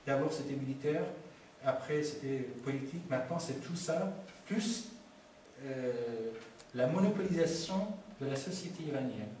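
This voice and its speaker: A man from Iran whose first language is Persian, speaking at a public film debate, halting and full of restarts and fillers. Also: on the high side, dark tone. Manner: hesitant, many restarts and fillers. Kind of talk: halting public talk, answering questions. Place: community meeting hall. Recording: very echoey hall, far from mic, faint noise.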